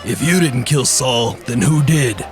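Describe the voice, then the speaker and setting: A man acts an enormous gangster boss from a hardboiled radio play. He speaks at a middling pitch, blunt and menacing, while music plays clearly behind. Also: lively intonation, a little slow, natural voice. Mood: commanding. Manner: blunt, commanding. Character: huge, domineering, menacing male mob boss.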